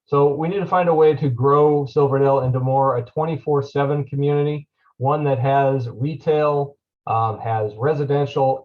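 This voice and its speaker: A man's voice: medium pitch, phone-quality sound. A man who is a deputy county administrator, giving a loose presentation at a public meeting with many ums and uhs. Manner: frequent fillers, loose and conversational.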